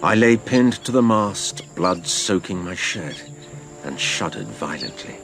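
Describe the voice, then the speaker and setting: A man narrates as an adventurer looking back on his boyhood. His voice is deep and creaky, with dramatic swings of pitch. The delivery is literary, theatrical storytelling. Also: animated, measured pace, over music. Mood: gripping, reflective.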